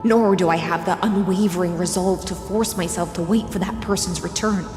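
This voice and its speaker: An actress playing a weary, sorrowful and proud siren warrior in a video game, speaking solemnly and dramatically. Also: female voice, lowish voice, fairly even intonation, brisk, natural voice. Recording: echoey hall reverb under music.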